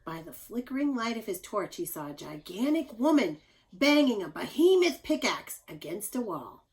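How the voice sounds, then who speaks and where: A woman teacher reading a children's story aloud, explaining things simply and speaking directly to young listeners with expressive emphasis. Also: medium pitch, normal speed.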